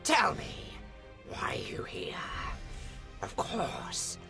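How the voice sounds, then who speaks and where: A man playing a menacing, mocking orc war leader with a gravelly, breathy voice in an amateur fantasy audio play. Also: whispered, music in the background. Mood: mocking menace. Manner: taunting, mocking, drawn-out hissed words.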